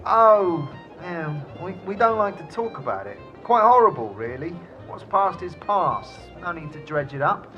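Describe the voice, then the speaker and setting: A man's voice: on the high side, sing-song, unhurried, hardly any pauses, pushed and bright, dull audio, faint music behind, heavily acted. A man playing a chatty, genteel severed talking head in an audio drama, with a fussy, theatrically polite manner. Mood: flustered, wistful. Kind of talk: audio drama character acting.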